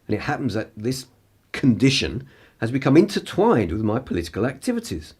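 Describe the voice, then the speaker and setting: An actor playing a pompous, articulate upper-class political radical in a radio play, with a husky voice. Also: male voice, fairly low pitch, a little mellow. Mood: defensive, earnest. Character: indignant, haughty upper-class male political radical and spy.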